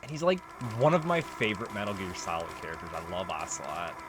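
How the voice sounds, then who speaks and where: A man's voice: low-pitched, sing-song, fast talker, noisy background. Rambling man doing casual game commentary. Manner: rambling, conversational. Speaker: let's player. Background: game music and audio.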